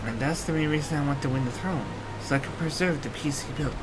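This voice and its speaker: A man's voice: a little high, fast, lightly acted. A man voicing a proud, eager young princess from a fantasy game, explaining her homeland earnestly. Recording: game music under the voice.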